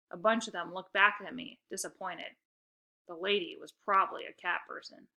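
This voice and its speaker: Bright-voiced woman reading a story. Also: mid-range voice. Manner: expressive storytelling. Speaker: read-aloud narrator. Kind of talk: scripted children's story narration.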